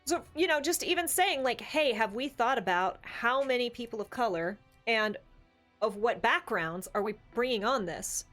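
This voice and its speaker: Woman, higher voice, podcast co-host, casual opinionated chat, emphatic delivery, music behind. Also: animated, moderate pace, a bit bright.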